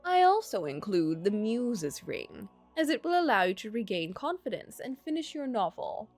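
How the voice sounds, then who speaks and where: A sly gentleman tempter from a story game, read by a woman, courtly and insistent. The delivery swings in pitch as he coaxes, over faint music. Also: big pitch swings, normal speed. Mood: persuasive. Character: sly, smooth-talking gentleman tempter offering magical bargains.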